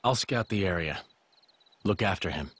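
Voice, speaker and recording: A man's voice: deep voice, animated, normal speed, fairly bright tone, slightly rough, dry recording, full character voice. A man playing a terse, cool, watchful swordsman in a video game cutscene. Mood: terse, calm.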